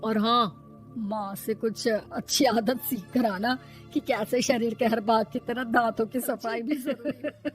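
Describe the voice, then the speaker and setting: Woman doing a naggy middle-aged indian mother-in-law, highish voice, scolds and mocks, cartoon story w music behind. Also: steady tone, unhurried, natural voice. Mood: dismissive. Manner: scolding, mocking complaints. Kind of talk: Hindi moral-story cartoon acting.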